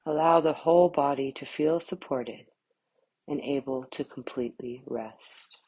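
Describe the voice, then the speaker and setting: A woman guiding a yoga nidra relaxation in a calm, soothing manner, with short, spaced phrases. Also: rumbling low, measured pace, slightly rough, rough recording. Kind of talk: guided relaxation meditation.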